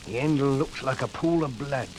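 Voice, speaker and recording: A man's voice: medium pitch, normal speed, background noise, close to an everyday voice. An actor playing a working-class man driven to murder by a cursed knife, in 1940s radio acting style. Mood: entranced. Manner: brooding, then frantic outbursts.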